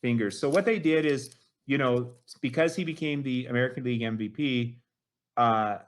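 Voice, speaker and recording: A man in his late fifties with a mid-pitched, ordinary voice talks eagerly as a guest. He rambles, piles up fillers and restarts his sentences as he gets excited. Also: moderate pace. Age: 50s. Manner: enthusiastic, rambling, many fillers like "you know". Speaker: guest collector. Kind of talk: casual interview chat.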